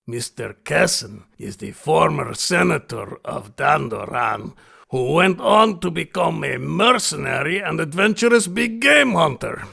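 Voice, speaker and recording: Gravelly, theatrical hunting host. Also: male voice, a little high, very animated, measured pace, sharp tone, full character voice. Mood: gleeful, grandiose. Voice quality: gravelly, husky. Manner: formal, courtly, emphatic. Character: genial, theatrical big-game hunter host, insistent and grandiose. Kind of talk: audio drama acting.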